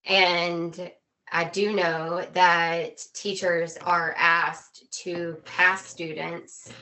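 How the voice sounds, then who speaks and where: A woman with a lowish, bright and forceful voice speaks as a guest in a casual chat. She is opinionated and emphatic, often repeating a phrase to drive it home. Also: flat delivery, drawn-out words, slight background noise, poor audio. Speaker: interview guest, former teacher.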